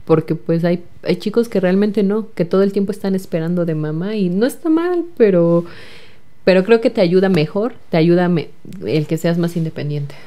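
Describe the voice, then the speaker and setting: Young mexican woman chatting as an interview guest, lower voice, warm and mellow. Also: moderate pace. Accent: Mexican Spanish. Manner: chatty, with many fillers and repeated words. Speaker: guest, recent engineering graduate. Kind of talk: casual interview answers.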